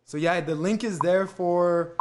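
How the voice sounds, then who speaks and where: A man's voice: high voice, measured pace, a little hiss or hum. A young man hosting a casual live stream, answering viewer questions and thanking commenters in an easygoing way with frequent fillers. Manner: fillers and laughs between answers.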